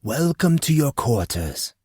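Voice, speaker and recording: A man voicing a courtly, unflappable male attendant in an audiobook story, formal, a little pompous and gently teasing. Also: medium pitch, big pitch swings, slow pace, breathy edge, no echo, voice pushed up, exaggerated voice. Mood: politely formal. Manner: formal, pompous, precise wording.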